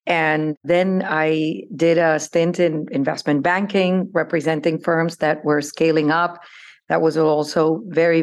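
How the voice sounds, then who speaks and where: Colombian-born woman guest, low and flat-toned, deliberate delivery. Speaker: investment firm CEO, guest. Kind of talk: interview answers.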